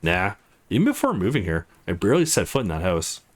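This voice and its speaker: A man plays a young woman from a visual novel, wry and teasing. The delivery is casual and a little defiant, with pitch that swings widely. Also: wildly swooping pitch, fairly quick. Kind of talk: visual novel dialogue read aloud.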